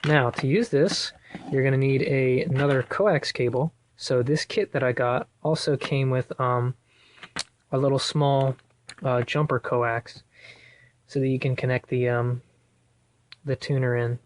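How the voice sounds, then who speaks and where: A man giving a casual, hands-on do-it-yourself tutorial in a halting, thinking-aloud way. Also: mid-range voice, steady tone. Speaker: DIY car project vlogger. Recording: noisy recording with clicks.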